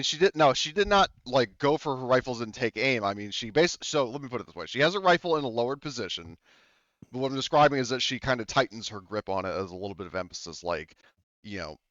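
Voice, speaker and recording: A man running a tabletop game as game master, describing scenes with many pauses and ums over a voice call. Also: medium pitch, big pitch swings, a bit bright, no echo, dull audio.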